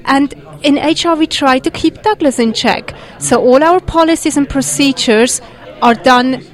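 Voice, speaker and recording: A woman from Switzerland with a Swiss accent, a guest on a podcast, talking in an earnest, measured way with frequent "um" fillers. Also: high-pitched. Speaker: podcast guest, agile HR consultant. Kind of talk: conversational interview.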